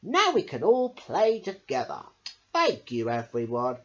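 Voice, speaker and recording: Woman as a confident, lively storybook pufferfish. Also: deep voice, measured pace, lo-fi sound, full character voice. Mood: friendly. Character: helpful pufferfish, confident and friendly.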